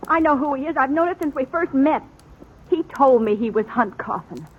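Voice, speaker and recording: A woman's voice: high voice, lively intonation, normal speed. A woman playing an earnest, defiant eighteen-year-old frontier sister, emotional and determined, in a 1950s radio western. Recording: muffled old radio broadcast, faint noise.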